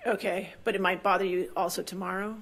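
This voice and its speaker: A woman judge speaking off the cuff in court, brisk and informal. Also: fairly low pitch, fairly even intonation, a bit bright. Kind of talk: spontaneous courtroom speech.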